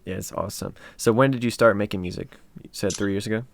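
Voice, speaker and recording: Fast-talking low-voiced male host. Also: steady tone, gravelly, no room sound. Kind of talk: casual interview chat.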